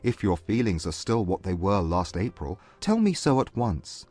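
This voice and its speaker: Period romance audiobook: male actor as a lovestruck, proud gentleman, lowish voice, intense measured phrasing, music faintly behind. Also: big pitch swings. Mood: hopeful, intense. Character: earnest, proud gentleman suitor, contrite and lovestruck. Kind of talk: dramatized audiobook acting of a classic romance.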